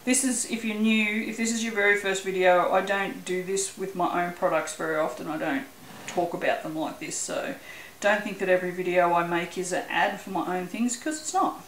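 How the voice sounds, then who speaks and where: A woman's voice: fairly low pitch, steady tone, normal speed, fairly bright tone, echoey room, slight background noise, low-quality recording. An Australian woman chatting casually as she works on a craft video, rambling a little and laughing often. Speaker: soap-making channel host. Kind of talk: casual chat while working.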